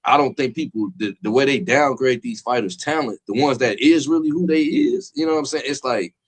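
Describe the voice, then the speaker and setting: A man at an ordinary pitch talks through his point at length in a loose boxing conversation. He speaks in slang with plenty of restarts, and he keeps asking if his listeners follow him. It sounds like a co-host thinking aloud among friends. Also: a little fast. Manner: rambling, slangy, fills with "you know what I'm saying". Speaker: podcast co-host. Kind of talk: casual boxing chat.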